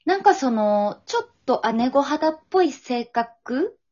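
A woman's voice: high voice, very animated, unhurried, warm tone. A woman speaking as a guest voice actress in a casual interview chat, often chiming in with short agreement. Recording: dull, band-limited audio.

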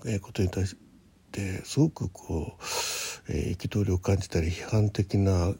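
A man hosting a casual solo morning radio show, chatting in a halting way, thinking aloud and murmuring to himself, with a husky, gravelly voice. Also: low-pitched, steady tone, dark tone. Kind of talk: casual solo chat with health log and listener letters.

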